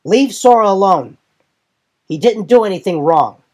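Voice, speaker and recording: A man's voice: sits high, animated, a little slow, darkish tone, pitched above the performer's own voice. A man playing a loyal, defiant young male warrior in an insistent, argumentative manner. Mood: fierce protest.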